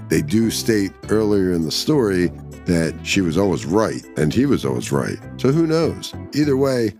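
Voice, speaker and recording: A man with a low voice hosts a short story podcast. He speaks as a storyteller, reading and remarking on classic fiction. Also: a little mellow. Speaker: story podcast narrator and host. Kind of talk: scripted story narration with casual commentary.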